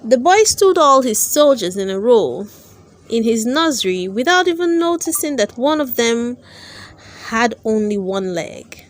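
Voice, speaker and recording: A woman narrating a children's storybook aloud, with careful, expressive storytelling. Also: a little high, measured pace. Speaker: storyteller, channel host.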